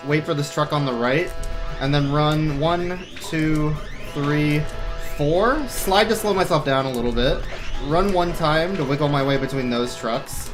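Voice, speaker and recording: A man giving casual, joking live commentary as he speedruns a video game. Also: a little high. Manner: wry, joking. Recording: voice under loud game music. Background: loud game music.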